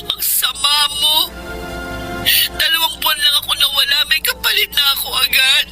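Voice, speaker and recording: A high-pitched, emotive voice from an actress plays a forgiving, devoted mother. She speaks earnestly in Filipino radio drama style. Music runs clearly underneath. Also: moderate pace, lightly acted, low-quality recording. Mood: hurt, angry. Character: wronged, forgiving, devoted mother who sells rice cakes.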